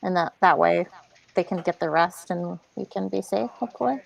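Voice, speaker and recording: A woman's voice: lowish voice, moderate pace, gravelly, poor audio. A woman playing an online tabletop game, talking casually as she plays.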